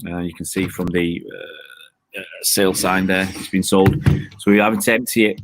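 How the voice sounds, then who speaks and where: A man's voice: low voice, steady tone, moderate pace, background noise. A man hosting a casual online chat, talking in a rambling, joking way with many fillers from an outdoor balcony. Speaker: host.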